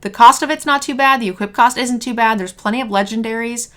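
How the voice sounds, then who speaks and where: A young woman hosting a card game review, a bit hoarse as her voice is failing, talking in an upbeat conversational way. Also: on the high side, fast talker, sharp tone. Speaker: host. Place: home streaming room, indoors.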